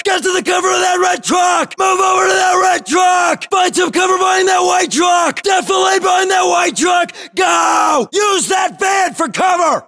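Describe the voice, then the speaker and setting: An actor playing an urgent, commanding US Marine in a military shooter game, shouting clipped combat calls in a strained, gravelly voice. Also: male voice, extremely high pitch, exaggerated voice. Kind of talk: military shooter battle chatter, shouted barks.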